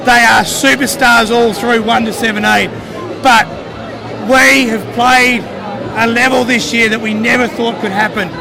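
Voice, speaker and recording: An Australian man hosting live fan interviews outdoors, enthusiastic and rambling, his voice croaky. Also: extremely high pitch, steady tone, a little slow. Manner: enthusiastic, rambling, repeats words. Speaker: fan show host. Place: outdoors at a crowded pre-game bar. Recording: loud crowd and music behind. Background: crowd noise and music.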